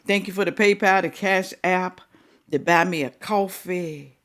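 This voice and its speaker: A woman hosting a reaction video, talking casually, scolding and animated with drawn-out exclamations. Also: fairly low pitch.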